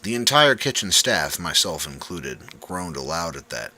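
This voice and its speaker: A man's voice: low-pitched, very animated, a little slow, pushed and bright, no room sound. A man reading a first-person story aloud as a dramatic audiobook narrator.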